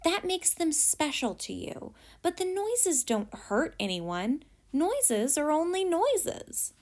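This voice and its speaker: Storybook ant, voiced by a woman: small, wise, gentle and curious, high voice, very animated melody. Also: slow, heavily acted. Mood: reassuring. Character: kindly, wise, reassuring little male ant. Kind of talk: children's storybook read aloud.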